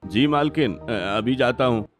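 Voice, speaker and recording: A man's voice: medium pitch, animated, unhurried, music in the background, close to an everyday voice. A man voicing an anxious, obedient driver in a cartoon story, deferential and distressed. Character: flustered, obedient male driver, anxious servant.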